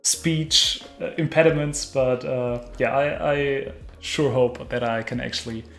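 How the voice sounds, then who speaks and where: A man's voice: medium pitch, fairly even intonation, measured pace, vocal fry, roomy sound, soft background music. A man with a German accent speaking English as a second language, friendly and a little hesitant, repeating words as he talks. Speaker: co-player, content creator.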